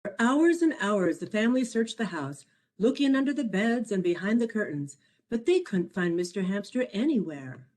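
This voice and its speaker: Expressive woman reading a children's storybook. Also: mid-range voice. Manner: expressive, measured read-aloud delivery. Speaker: author reading own children's picture book. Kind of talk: scripted storybook narration for children.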